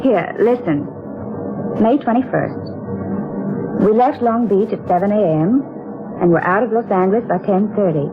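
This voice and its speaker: An actress playing a fond, dreamy wife in 1940s radio drama style. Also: female voice, mid-range voice, moderate pace, pauses often, natural voice. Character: Sonia, a fond, romantic wife. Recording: tinny lo-fi old radio broadcast with music.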